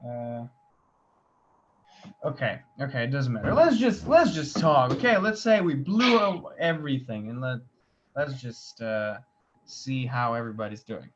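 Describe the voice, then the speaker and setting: A man's voice: medium pitch, expressive, measured pace. A man hosting a casual interview over a video call, informal and easygoing in his questions. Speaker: host. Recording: dull video call audio with faint noise.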